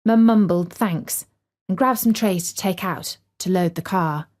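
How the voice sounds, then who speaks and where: A woman's voice: fairly low pitch, unhurried, darkish tone. A woman storyteller reading a scripted story for children.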